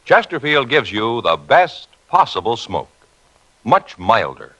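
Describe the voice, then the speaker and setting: A man announcing a 1950s radio crime show and its sponsor in a grave, measured, formal manner. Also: mid-range voice, big pitch swings, a bit bright, muffled recording.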